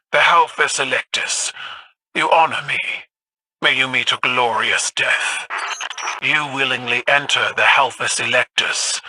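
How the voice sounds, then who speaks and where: An actress playing a proud, warlike alien empress in a video game, speaking in a formal, grandiose and commanding manner. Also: female voice, a bass voice, pushed and bright, breathy edge, full character voice, rough recording. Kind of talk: theatrical video game voice acting.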